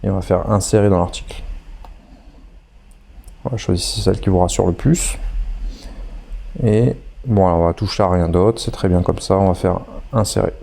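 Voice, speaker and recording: A man's voice: low-pitched, moderate pace, gravelly, pauses often, mellow, breathy edge. A man with a head cold talking through an online course tutorial step by step, chatty and hesitant with many fillers. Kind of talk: screen-recorded tutorial walkthrough.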